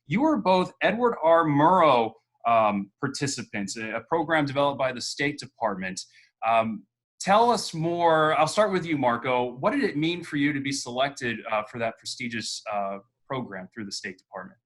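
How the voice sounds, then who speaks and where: A man's voice: medium pitch, expressive, moderate pace, fairly bright tone. A man moderating an online panel, introducing guests and asking questions with occasional fillers. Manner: friendly, guiding questions with fillers. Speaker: host. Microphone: video call.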